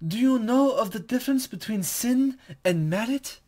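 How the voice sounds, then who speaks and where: A man's voice: very high voice, animated, normal speed, warm tone, voice pushed up. A man voicing a playful, smug female spirit guide who speaks in formal, cryptic, teasing phrases in a visual novel read-aloud. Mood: teasing, instructive. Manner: archaic, formal, teasing, condescending.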